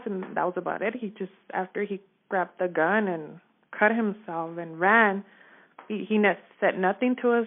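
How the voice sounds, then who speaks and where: A woman talks over the telephone, her mid-pitched voice squeezed into a narrow, muffled band. She recounts things informally, as in a casual witness interview, and keeps checking that the listener follows her. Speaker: store manager giving a witness account. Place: telephone call. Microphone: phone line. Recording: narrow muffled phone-line audio.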